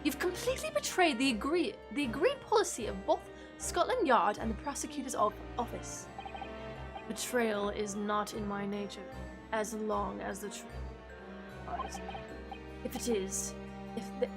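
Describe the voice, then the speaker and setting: A woman's voice: mid-range voice, expressive, moderate pace, natural voice. A woman playing a stern, proud male official in a video game, formal and indignant. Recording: music under the voice.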